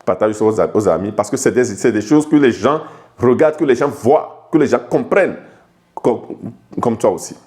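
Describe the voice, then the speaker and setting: Man, life coach, informal lesson, direct address, halting, repeats words, restarts, mid pitch. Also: animated, darkish tone. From Togo. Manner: addresses the viewer directly and familiarly. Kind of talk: informal video lesson to camera.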